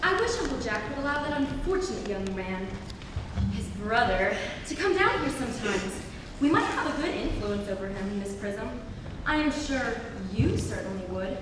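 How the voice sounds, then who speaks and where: A girlish, sweetly wilful teenage ward played by a woman, high and very animated. The stage-comedy lines carry from afar through a noisy hall. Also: normal speed. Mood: artless, wilful. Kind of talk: stage dramatic reading of a period comedy. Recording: distant, echoey noisy hall.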